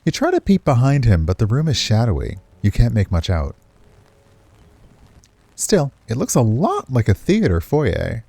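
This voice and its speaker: A man's voice: mid-range voice, huge pitch swings, normal speed, gravelly, dark tone, husky, no room sound. A man narrating a visual novel expressively, with casual commentary as he streams it. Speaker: game streamer.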